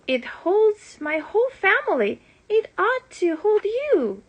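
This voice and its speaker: A woman's voice: very high-pitched, sing-song, slow pace, muffled recording, pitched above the performer's own voice, exaggerated voice. A woman voicing a devoted, frantic child in a children's storybook, exclaiming with breathless urgency. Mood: panting, relieved. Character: frantic, devoted child rescuing an outgrown pet fish.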